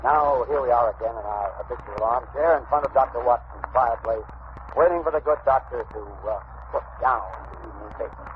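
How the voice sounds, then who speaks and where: A man's voice: medium pitch, fairly quick, narrow, tinny audio. A man announcing and hosting in a polished, emphatic 1940s radio style. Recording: thin, noisy vintage radio recording.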